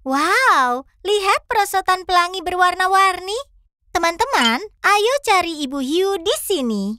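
A woman's voice: very high voice, expressive, unhurried, sharp tone, dry recording, exaggerated voice. A woman voicing a cheerful, curious little fox who hosts a children's cartoon with enthusiasm and encouragement. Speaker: host guiding young viewers. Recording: children's music behind.

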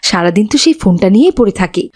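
A woman's voice: mid-range voice, sing-song, fast talker, a little mellow, husky. A woman playing a weary, pious, long-suffering mother in a cartoon, with a pleading manner. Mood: worried, disheartened. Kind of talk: cartoon voice acting.

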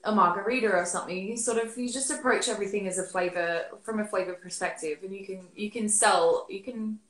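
A chatty woman in her thirties from Australia talks over a laptop webcam at home, rambling through fillers and restarts. Her voice sits in a middle pitch, and the room rings around it. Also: fairly quick, fairly bright tone. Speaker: beer expert guest. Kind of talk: casual video call interview. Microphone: laptop webcam on video call. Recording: roomy webcam audio.

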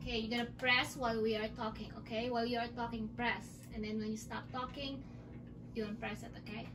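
Woman, mom helping her kid in a home video, patient instructing delivery, high-pitched, clear background noise. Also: little pitch movement, a little fast. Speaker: mother helping her child on camera. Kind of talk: casual home chat with a child. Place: bedroom at home. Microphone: camera mic, speaker off camera. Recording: noisy home recording.

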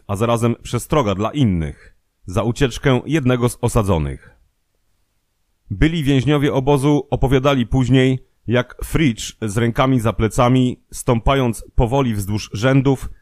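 A man's voice: mid-range voice, a little mellow, no echo. Man narrating in a dry, close studio sound. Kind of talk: scripted documentary narration.

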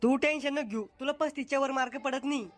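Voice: sits high, quick pace, noisy background, natural voice. A voice actor playing a strict rural male schoolteacher, blunt and scolding. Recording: loud music under the voice.

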